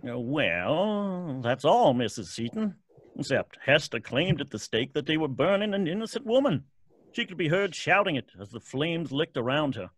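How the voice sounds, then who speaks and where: Radio play caretaker: folksy, uneasy storyteller, animated pitch, stammering hesitation. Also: male voice, normal speed, dry recording, low-quality recording. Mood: ominous, folksy. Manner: hesitant, rustic, spooked. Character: folksy, uneasy graveyard caretaker telling legends. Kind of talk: old-time radio drama acting.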